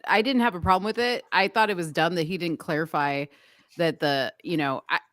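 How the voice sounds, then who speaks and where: A woman co-hosting a talk show, speaking frankly and chattily in a casual debate, quick to laugh. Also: fairly low pitch, fairly bright tone, no echo, clean studio sound. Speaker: show co-host.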